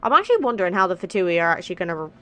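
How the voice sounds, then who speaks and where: A woman's voice: lowish voice, sing-song, very fast, pushed and bright. A woman doing casual, chatty commentary over a game playthrough, playful and full of pet names. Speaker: gaming video host.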